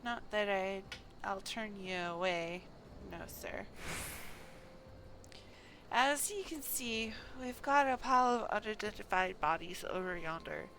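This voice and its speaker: Woman voicing a gruff, folksy fantasy shopkeeper. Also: medium pitch, animated, unhurried, pauses often, fairly bright tone, slight background noise. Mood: wary, businesslike. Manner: rustic colloquial speech, dropped consonants.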